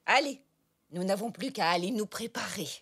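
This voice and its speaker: A woman's voice: mid-range voice, normal speed, breathy edge, heavily acted. A woman playing a kindly, encouraging zebra grandmother in a French children's cartoon. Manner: gentle, expressive, encouraging.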